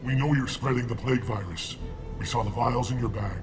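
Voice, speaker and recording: An actor playing a suspicious, menacing alien thug in a video game, with hard, curt delivery. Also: male voice, mid-range voice, brisk, over music, close to an everyday voice. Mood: threatening.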